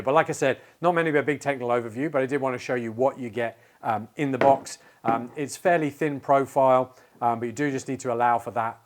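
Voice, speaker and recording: A man in his forties giving a casual, explanatory product walkthrough with hesitant phrasing, recorded in an indoor studio. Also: mid-range voice. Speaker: tech channel presenter. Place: indoor studio with plain backdrop.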